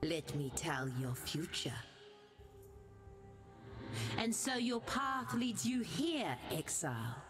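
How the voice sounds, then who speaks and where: A woman voicing a knowing, mysterious fortune-teller in a video game, with drawn-out, theatrical delivery. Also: fairly low pitch, big pitch swings. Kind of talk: scripted game dialogue. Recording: music clearly behind the voice.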